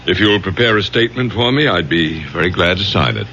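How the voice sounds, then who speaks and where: An actor playing a mild, anxious college professor, formal and hesitant, in an old-time radio drama. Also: male voice, low voice, expressive, fast. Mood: remorseful, earnest. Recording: noisy, muffled old radio broadcast.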